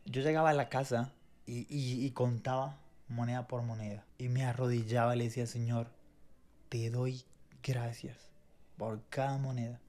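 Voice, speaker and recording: A man's voice: mid-range voice, little pitch movement, moderate pace, dark tone. Earnest Venezuelan-born man sharing testimony. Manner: earnest, reflective, with many restarts and repetitions. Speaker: co-host.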